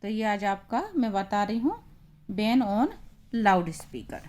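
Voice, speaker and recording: A woman with an Indian accent teaching in a deliberate, explanatory way, as in an instructional lesson. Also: medium pitch, animated, a little mellow. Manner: deliberate, explanatory, reading phrases out then translating them.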